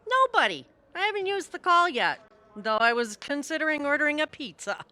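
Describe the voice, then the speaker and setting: A woman's voice: sits high, big pitch swings, fairly quick, pushed and bright, full character voice. A woman playing an apologetic, loyal suburban mom in an audio theatre comedy, defensive and earnest.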